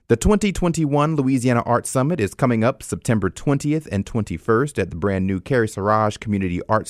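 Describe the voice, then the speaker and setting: A man with an American accent and a fairly low voice, hosting a radio arts show. He talks to listeners directly and conversationally, with a close, dry studio sound. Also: no echo. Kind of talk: scripted announcements and interview hosting.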